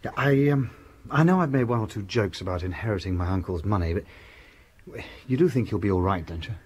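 An actor playing an edgy, hearty young gentleman in a radio drama, with hesitant, unsettled speech. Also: lowish voice, animated, brisk, husky. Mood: uneasy.

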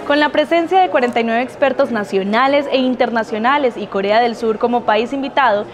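A woman's voice: fairly high pitch, fairly quick, over music. A young Colombian woman presenting scripted news to camera in a busy exhibition hall. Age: young adult. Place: large indoor exhibition hall. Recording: busy expo hall crowd behind.

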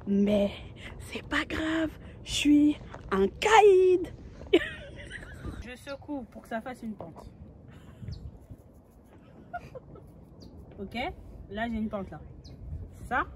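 A woman's voice: high-pitched, sing-song, extremely slow, long pauses, a little mellow, a little hiss or hum. A young woman talking casually to a phone camera outdoors, chatty and playful. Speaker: travel vlogger. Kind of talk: casual vlog talk to camera. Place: outdoors in nature. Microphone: phone at arm's length.

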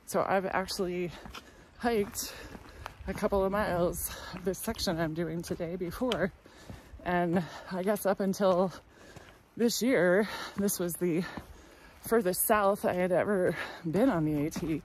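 A woman's voice: fairly low pitch, measured pace, a little mellow, slight background noise, poor audio. A woman in her thirties vlogging while hiking a forest trail, talking casually and wryly into a phone held at arm's length. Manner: chatty, wry, breathy from hiking. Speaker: thru-hiker vlogger. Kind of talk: casual walking vlog.

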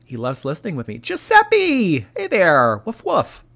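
A man's voice: fairly high pitch, a little slow, gravelly. A young man with a slightly stuffy, nasal voice, chatting casually on a live stream and reading donations. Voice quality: a little nasal. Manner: animated, laughing, reacting to chat.

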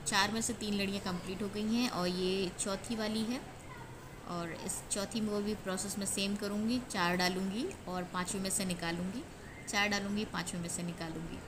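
A woman's voice: medium pitch, fairly even intonation, normal speed. An Indian woman giving a casual, step-by-step craft tutorial, explaining as she goes and mixing in English words. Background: plucked guitar music.

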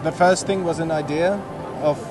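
A man in his thirties, an artist, explains his work in a thoughtful, halting way, often restarting his sentences. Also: on the high side, steady tone, a crowd in the background, noisy background. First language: German. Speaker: artist and exhibit creator. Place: outdoors on a busy city plaza. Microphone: handheld microphone. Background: loud music.

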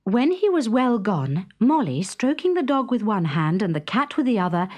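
A woman narrating a children's story in a dramatic, expressive, suspenseful storybook style. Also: on the high side, normal speed.

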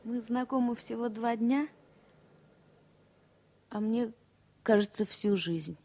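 Soft, husky woman in love. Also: on the high side, takes it slowly. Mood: tender. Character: tender, infatuated, probing woman in new romance. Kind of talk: intimate 1970 radio play.

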